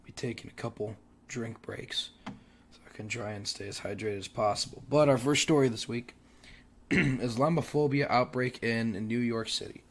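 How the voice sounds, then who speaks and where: A young adult man hosting a solo commentary show while sick and losing his voice, clearing his throat often and pausing on fillers as he restarts his sentences. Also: medium pitch. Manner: halting, restarts mid-sentence. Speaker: podcast host.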